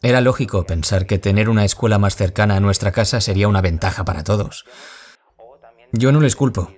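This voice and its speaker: A man acting a gentle former bullying victim turned author in an audio drama, confiding in a measured, reflective way. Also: on the low side, lightly acted. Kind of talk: audio drama acting as a radio interview guest.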